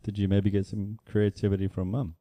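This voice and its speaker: A man's voice: fairly low pitch, little pitch movement, fairly quick, dark tone, no room sound. A man hosting a podcast, asking brief, easygoing questions. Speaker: podcast host. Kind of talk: casual podcast interview.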